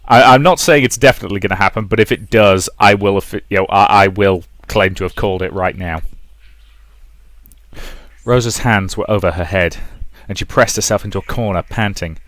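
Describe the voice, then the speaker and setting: A man's voice: fairly low pitch, a little fast, a bit bright, no echo. A man hosting a let's play, reading visual novel narration and adding casual commentary.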